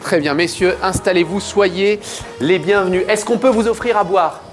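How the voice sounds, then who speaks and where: A man hosts a talk show with a fairly high voice. He is playful and teasing, with a theatrical touch to his delivery. Music plays behind him. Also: normal speed. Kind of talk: lively chat show presenting. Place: television studio set.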